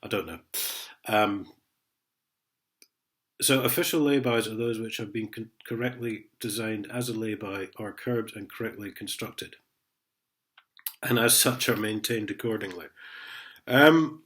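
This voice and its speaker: A man's voice: fairly low pitch, a little slow, long pauses, a bit bright. Sarcastic man with a cold. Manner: sarcastic, chuckling, reads letters aloud mockingly. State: sniffly with a head cold. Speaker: vlogger. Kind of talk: casual ranting vlog.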